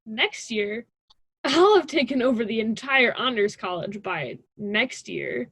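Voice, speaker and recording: A woman's voice: a little high, lively intonation, unhurried, a bit bright, breathy edge, no echo, heavily acted. A young woman playing a stubborn, sarcastic college student in a radio drama, with a dismissive conversational delivery. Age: young adult.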